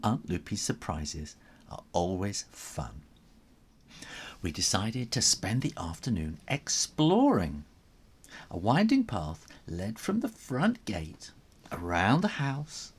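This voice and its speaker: Male children's storyteller: very slow, highly animated, theatrical pauses, whispery emphasis. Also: husky. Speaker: children's author reading his own book aloud.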